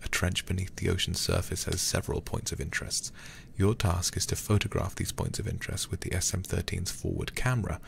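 A man streaming a horror game in a soft, intimate ASMR style close to the microphone, muttering and sometimes whispering. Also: low-pitched, fairly even intonation, fairly quick, gravelly, hardly any pauses, slight background noise. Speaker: livestreamer playing a horror game.

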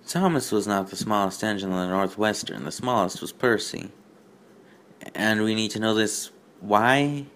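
A man hosting a review show, reading aloud and commenting with mock-indignant, deadpan sarcasm. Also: on the low side, normal speed. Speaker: host of a fan fiction review show.

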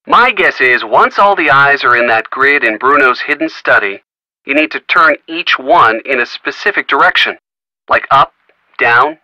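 A man's voice: on the high side, bright, forceful tone, no room sound, heavily acted, low-quality recording. An actor playing a friendly man in a video game who gives folksy puzzle hints with wry little asides. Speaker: hint-giving game character. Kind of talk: recorded video game dialogue.

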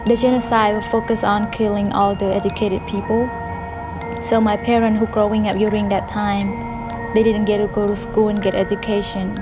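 A young woman, giving a soft, steady personal testimony in a studio interview. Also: medium pitch, normal speed. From Cambodia. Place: indoor studio interview set. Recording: music underneath.

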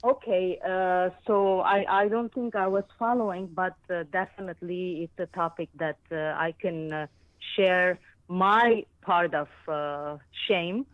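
A woman's voice: lowish voice, fairly even intonation, unhurried, dry recording. A woman in her fifties from Lebanon calling in to a show to share a personal account, hesitant and often searching for words. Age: 50s. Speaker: phone-in caller. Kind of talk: personal account on a radio call-in. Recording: tinny narrow phone line.

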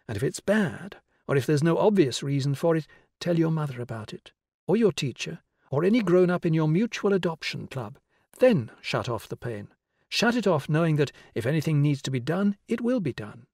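Guy reading as a kind lady teacher coaxing kids, higher lilting voice, really animated, audiobook style. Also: creaky, dry recording, studio quality, exaggerated voice. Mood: calm, instructive. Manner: instructive, coaxing, deliberate phrasing. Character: Susila, tall kindly woman teacher guiding children's pretend games. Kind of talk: audiobook character voice.

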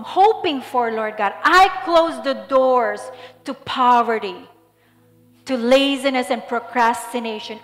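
A woman pastor in her thirties from the Philippines prays over a congregation, with a voice set slightly high. She speaks slowly and deliberately, declaring each phrase with fervour. Her voice rings through a large echoing hall with faint music underneath. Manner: fervent, declaring prayer then softer guiding. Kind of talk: sermon prayer. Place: large church stage. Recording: echoey hall with soft music.

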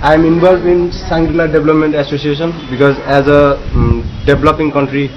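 A man in his twenties with a Nepali accent giving a halting statement to camera. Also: a little high, fairly even intonation. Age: 20s. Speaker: executive member of an aid association. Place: outdoors in front of a building. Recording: muffled camera sound with noise.

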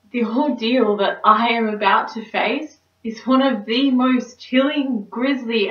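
A young woman performing a stage monologue as a neurotic, melodramatic single woman, theatrical and anxious. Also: fairly high pitch. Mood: dramatic dread. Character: neurotic, dramatic single woman dreading dating. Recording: roomy, distant mic, slightly dull.

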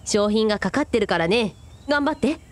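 Teasing high-voiced young woman, played by an actress. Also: animated, a little fast, noisy background, lightly acted. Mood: breezy. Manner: teasing, playful. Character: teasing, breezy young woman, one of triplet sisters. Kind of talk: casual radio drama dialogue.

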